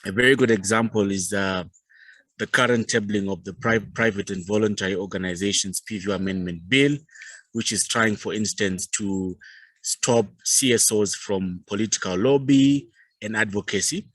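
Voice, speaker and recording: A man with a Zimbabwean accent giving a formal presentation as a youth organization leader, speaking in a measured, formal way with pauses. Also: lowish voice, a bit bright, dry recording, rough recording.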